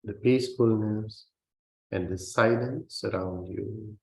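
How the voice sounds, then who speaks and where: Slow, gravelly man guiding meditation. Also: fairly low pitch. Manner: gentle, measured, with long pauses between phrases. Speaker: Buddhist monk, meditation teacher. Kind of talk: guided meditation. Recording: roomy, reverberant sound.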